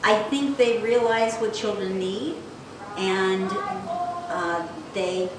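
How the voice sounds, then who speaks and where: A woman speaks informally at a slow pace and middling pitch. She sounds far from the mic in a very reverberant, noisy space. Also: animated. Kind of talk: informal interview testimonial. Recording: echoey room from far off, background noise.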